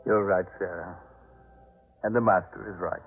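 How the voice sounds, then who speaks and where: A man's voice: low-pitched, steady tone, moderate pace, breathy edge, faint music behind. A man playing a proud householder, earnest and theatrical, in a 1950s radio drama. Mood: remorseful. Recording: muffled, tinny 1950s radio recording.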